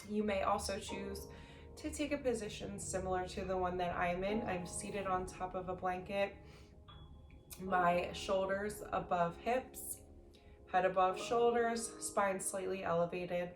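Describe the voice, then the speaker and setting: A woman leading a guided sleep meditation as an instructor, speaking gently in slow, measured phrases with long pauses. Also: mid-range voice, fairly even intonation. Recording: roomy echo, faint music behind.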